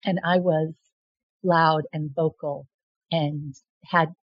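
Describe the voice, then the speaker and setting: A woman's voice: low-pitched, steady tone, slow pace. A middle-aged woman hosting her own show, talking straight to the viewer in a rambling, casual way and often restarting her sentences. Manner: rambling with frequent restarts and repeated words. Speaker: host and self-described presidential candidate. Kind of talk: casual direct-to-camera monologue. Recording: dull lo-fi dry sound.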